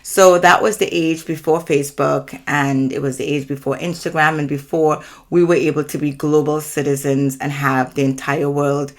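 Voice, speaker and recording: A Trinidadian woman in her forties hosts her own channel and tells a personal story in a measured, earnest way. Her voice sits low, and she explains each point with care. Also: steady tone. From Trinidad and Tobago. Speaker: channel host.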